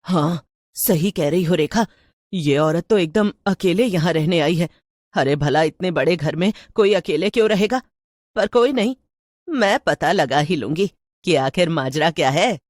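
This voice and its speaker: A woman voicing a nosy, excitable housewife who chats and pries in a cartoon story. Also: fairly low pitch, a little slow, no echo, studio quality. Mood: curious, flustered. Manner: eager, prying, chatty.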